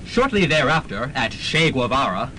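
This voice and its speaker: A man acts as the tongue-in-cheek announcer of a student radio serial. His mid-pitched voice swings up and down through scripted narration, with faint rumble on the recording. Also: sing-song, moderate pace, sharp tone, rough recording. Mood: wry, announcing.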